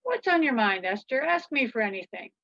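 A woman's voice: on the high side, very animated, a little fast, creaky, a bit bright, dry recording, dull audio, exaggerated voice. Woman reading as an emphatic, regal storybook king. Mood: welcoming. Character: generous, protective male king of a storybook kingdom.

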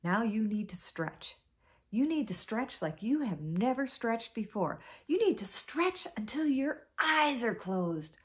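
A woman's voice: fairly high pitch, expressive, a little slow. A woman voicing a small, sly male spider trickster who talks in a coaxing, wheedling way.

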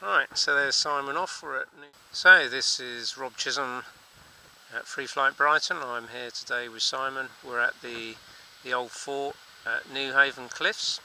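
A man's voice: medium pitch, fairly even intonation, a little slow, rough recording. A man who teaches paragliding, talking casually as he flies outdoors on a helmet camera mic. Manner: chatty, explaining as he flies. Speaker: paragliding instructor. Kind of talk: casual narration while flying. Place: outdoors, paragliding over sea cliffs. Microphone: helmet-mounted camera mic. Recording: loud wind noise on an action-camera mic.

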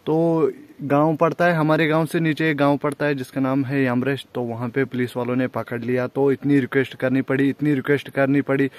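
A man's voice: mid-range voice, flat delivery, brisk, few pauses. A man, chatting casually to his viewers as a vlogger and repeating phrases for emphasis, on a handheld phone outdoors. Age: 20s. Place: outdoors on village roads, paths and by water. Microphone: handheld phone at arm's length. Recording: noisy outdoor phone recording.

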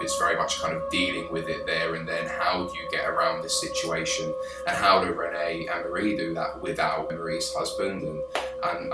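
A young man from England in his twenties, pitching his film to camera with eager gestures and frequent restarts. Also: far from the mic. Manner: enthusiastic, gestures with his hands, many restarts. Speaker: film director pitching a crowdfunding campaign. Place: small office, indoors. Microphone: camera a few feet away. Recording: roomy office sound with background music.

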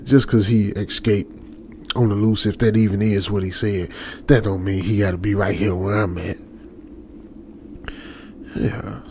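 A man's voice: low-pitched, gravelly. A man with an American accent playing an anxious young trucker, muttering nervously to himself in a radio drama. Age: young adult. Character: anxious young male trucker stranded alone. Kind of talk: ASMR radio drama monologue. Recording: faint background noise.

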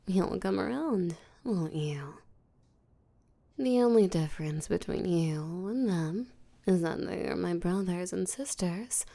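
Slow, low-voiced seductive vampire woman, acted. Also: mellow, full character voice. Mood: tender, possessive. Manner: teasing, breathy, often drops to a whisper. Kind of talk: roleplay audio drama, intimate acting.

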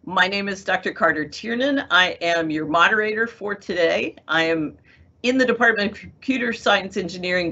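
Middle-aged woman, panel moderator, bright tone, muffled call audio. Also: medium pitch, breathy edge. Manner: friendly, organized introductions. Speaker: moderator, assistant dean. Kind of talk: hosting a video-call panel. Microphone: video call. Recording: dull lo-fi video-call audio.

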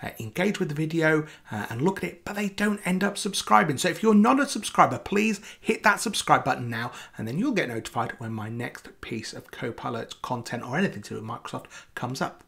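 A man in his forties giving a casual software demo as a video presenter, in a conversational, emphatic way. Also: on the high side, lively intonation, a little fast, gravelly, few pauses.

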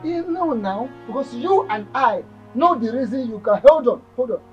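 A man's voice: very high voice, lively intonation, normal speed, darkish tone, muffled recording, soft background music. Proud, declamatory Igbo village strongman. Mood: coolly defiant. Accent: Nigerian, Igbo.

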